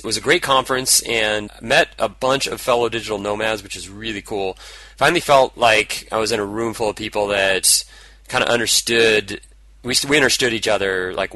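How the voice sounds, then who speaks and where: A man hosting his podcast alone, talking casually and a little hoarse from a cold. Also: lowish voice, bright, forceful tone, low-quality recording. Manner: casual, rambling, upbeat. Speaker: podcast host. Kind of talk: solo podcast monologue. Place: indoors, traveling.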